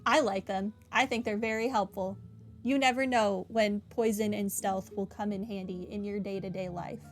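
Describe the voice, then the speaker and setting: A woman's voice: mid-range voice, fairly even intonation, normal speed. A woman giving live game commentary as a streamer, chatting casually with a playful sarcastic streak. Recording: faint game music behind the voice.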